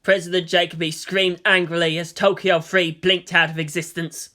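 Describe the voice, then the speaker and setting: A man's voice: high voice, level tone, moderate pace, sharp tone. A man giving a dramatic scripted narration of a fan fiction story.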